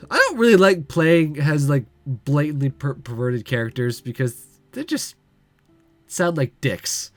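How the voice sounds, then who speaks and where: A man's voice: on the high side, lively intonation, measured pace, no echo, faint music behind. A man hosting a visual novel playthrough, talking casually and jokingly with lots of fillers and asides. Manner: rambling, joking, many fillers. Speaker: let's play host.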